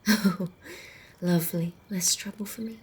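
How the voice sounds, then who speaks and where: A woman playing a centuries-old female vampire in an ASMR roleplay, wry, teasing and casually menacing, in a soft, breathy, often whispered voice. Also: lowish voice, fairly even intonation, a little hiss or hum, close to an everyday voice. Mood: dry, teasing. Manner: unhurried, amused, conversational.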